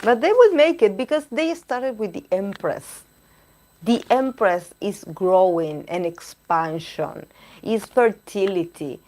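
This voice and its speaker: A woman reading tarot cards on a live stream, chatting with viewers and pausing to search for words, her voice going hoarse. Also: mid-range voice, animated, darkish tone. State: losing her voice. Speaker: tarot reader.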